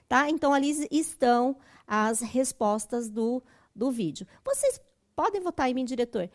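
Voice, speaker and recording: São woman english teacher, kinda high voice, chatty lesson style, keeps checking you follow. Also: animated, moderate pace. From Brazil. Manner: didactic, checks in with tag questions. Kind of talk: conversational school lesson for broadcast.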